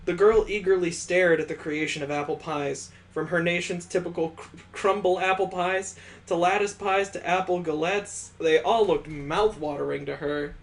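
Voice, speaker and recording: Expressive man with a higher-pitched voice. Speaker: let's play host. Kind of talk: visual novel read-aloud with joking asides.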